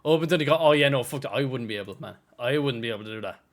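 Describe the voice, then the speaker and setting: A man's voice: medium pitch, very fast. An Irish man hosting a casual open community call on a voice chat, bantering, laughing often and swearing freely. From Ireland. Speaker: host.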